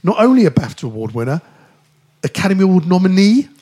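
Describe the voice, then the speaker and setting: Croaky football podcast host. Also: male voice, high-pitched, big pitch swings, fast talker, warm tone. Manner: friendly, hesitant with many fillers. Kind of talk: casual football podcast chat.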